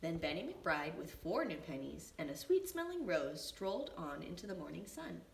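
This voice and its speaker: Very animated woman reading to young children. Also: fairly low pitch, gravelly. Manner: expressive, deliberate phrasing. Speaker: preschool teacher reading a picture book. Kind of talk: storybook read-aloud for young children. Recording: echoey room, distant mic, faint noise.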